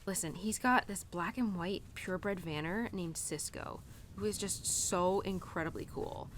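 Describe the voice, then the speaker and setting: A woman's voice: fairly low pitch, a little slow, a little hiss or hum, natural voice. A young adult woman playing a stubbornly independent, conflicted cowgirl in an audio roleplay, confiding in her horse in a chatty, hesitant, informal way. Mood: fond, gossipy. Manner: chatty, hesitant, informal, peppered with "like" and "you know". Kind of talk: audio roleplay monologue, intimate and conversational.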